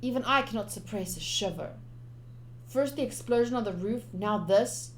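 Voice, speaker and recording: A woman's voice: mid-range voice, moderate pace, slight background noise. A young woman doing playthrough commentary, reading game text aloud expressively with chatty asides. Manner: expressive, chatty asides between read narration. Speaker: game streamer.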